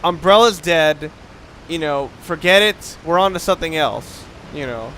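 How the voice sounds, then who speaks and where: A man's voice: a little high, moderate pace, a bit bright, a little hiss or hum, low-quality recording. A young man giving casual, rambling commentary over a video game, often breaking off mid-sentence and swearing now and then. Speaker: let's play commentator.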